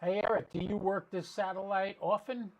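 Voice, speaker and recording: A man's voice: high-pitched, steady tone, moderate pace, darkish tone, rough recording. A man giving casual live commentary as a radio stream host, thinking aloud and explaining as he goes, often restarting his sentences. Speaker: ham radio livestream host.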